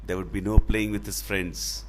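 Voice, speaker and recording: A man telling a children's fable in a dramatic, expressive way, with deliberate phrasing. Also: fairly low pitch, slight background noise. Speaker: storyteller.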